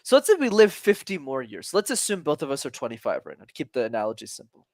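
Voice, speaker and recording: A man in his twenties, a communication coach talking as a podcast guest, energetic and emphatic, casual with some swearing. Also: medium pitch, fast talker, dry recording. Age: 20s.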